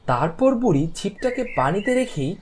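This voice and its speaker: A man's voice: sits high, sing-song, moderate pace, a little mellow, slight background noise. A man narrating a scripted fairy tale for a cartoon as a storyteller.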